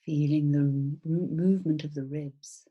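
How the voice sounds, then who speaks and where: Low, very slow, breathy woman guiding meditation. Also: fairly even intonation, highs cut off. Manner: soft, soothing, unhurried with long pauses. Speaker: mindfulness teacher. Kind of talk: guided body scan meditation.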